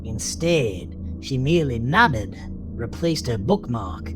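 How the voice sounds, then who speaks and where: A man narrating a scripted horror story in a measured, dramatic storytelling manner. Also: mid-range voice, expressive. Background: music.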